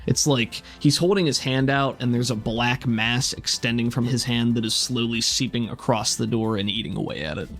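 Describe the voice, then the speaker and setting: A man's voice: medium pitch, moderate pace, hardly any pauses, fairly bright tone. A man narrating a tabletop roleplaying game as game master, describing scenes and calling for rolls. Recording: music behind the voice. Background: background music.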